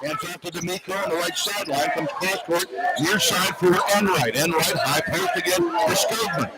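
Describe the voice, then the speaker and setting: High-pitched man calling basketball on radio. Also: normal speed, very noisy. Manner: clipped present-tense phrases. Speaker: play-by-play announcer. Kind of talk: live radio basketball play-by-play commentary.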